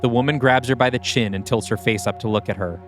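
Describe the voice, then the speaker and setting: A man's voice: mid-range voice, quick pace. A man reading scripted, screenplay-style narration for an audio play. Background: background music.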